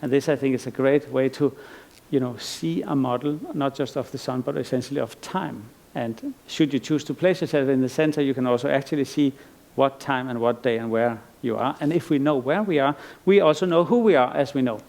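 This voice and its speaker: Gravelly-voiced man giving a rambling lecture. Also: mid-range voice, a little fast, few pauses, darkish tone. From Iceland. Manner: thoughtful, rambling with frequent restarts and fillers. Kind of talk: conference lecture. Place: lecture stage.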